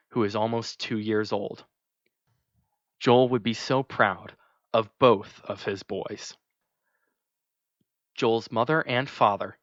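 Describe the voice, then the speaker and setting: A man reading a scripted memorial narration in a measured, gentle way. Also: lowish voice, dry recording. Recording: dull, lo-fi dry recording.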